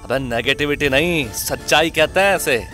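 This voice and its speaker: A man's voice: a little high, normal speed, sharp tone. A man playing a grumpy, cynical, foul-mouthed sidekick in a horror-comedy audio drama, blunt and slangy. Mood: grumpy, sarcastic. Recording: music under dialogue.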